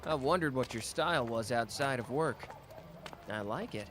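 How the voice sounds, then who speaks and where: An actor playing a hot-tempered but protective young man who talks gruffly and swears in an audio roleplay. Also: mid-range voice, animated, background noise, natural voice. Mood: warm. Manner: gruff, swearing, quick to snap. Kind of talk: ASMR roleplay acting.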